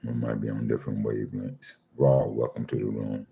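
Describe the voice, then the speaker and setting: Super deep gravelly guy running a live chat room, slow and calm, welcoming folks by name. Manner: slow, calm, repeats himself, reads names off a screen. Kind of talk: casual livestream chat, greeting listeners.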